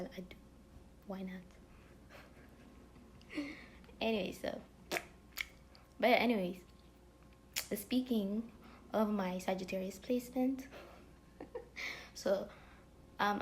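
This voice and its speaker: A woman's voice: medium pitch, vocal fry, a little mellow, a little hiss or hum. A woman vlogger chatting to camera in a rambling, casual way, full of fillers and dropping now and then into whispery asides. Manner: drifting, many fillers, drops into whispery asides.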